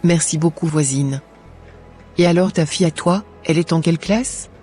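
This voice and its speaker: A man voicing a kind, trusting, pious mother in an animated moral drama, with polite scripted phrasing. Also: fairly high pitch, moderate pace, breathy edge. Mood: polite, friendly. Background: faint music.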